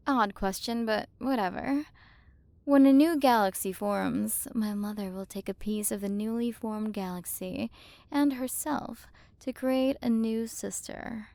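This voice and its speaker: A woman playing a haughty, curious alien galaxy queen in an ASMR roleplay, soft and condescending, possessive of her human. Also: a little high, a little slow, vocal fry, no echo. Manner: soft, condescending, teasing, at times whispered.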